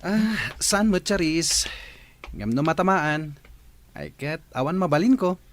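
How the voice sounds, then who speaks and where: A man's voice: on the high side, lively intonation, measured pace. An actor in a radio drama playing a cheerful young man who teases his best friend playfully. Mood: teasing. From Philippines. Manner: teasing, playful. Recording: radio drama with music underneath.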